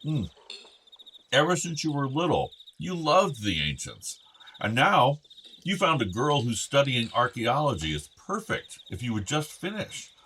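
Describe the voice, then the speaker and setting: A man's voice: mid-range voice, very animated, unhurried, sharp tone, a little hiss or hum, poor audio. A male actor playing a fond, outdoorsy middle-aged father of two grown sons in a naturalistic radio play. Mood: fond, proud. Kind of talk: naturalistic radio drama dialogue.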